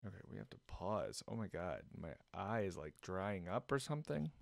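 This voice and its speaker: A man's voice: low-pitched, sing-song, moderate pace, vocal fry, no echo. A young man giving casual commentary as the host of a reaction video. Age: young adult. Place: indoors at a desk.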